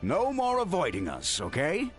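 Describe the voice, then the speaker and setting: A man's voice: a little high, wildly swooping pitch, moderate pace, soft background music. An actor playing a caring, chatty family man in a video game, wry and affectionately scolding. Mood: exasperated, scolding. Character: protective, chatty adult man, exasperated but caring family elder.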